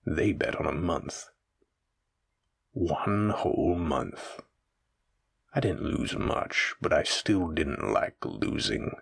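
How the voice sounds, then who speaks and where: A man's voice: extremely low pitch, big pitch swings, takes it slowly, gravelly, long pauses, breathy edge, heavily acted. A man playing a menacing, teasing mafia boss villain in a roleplay audio drama, speaking in a taunting, theatrical way straight to the listener. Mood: amused, threatening.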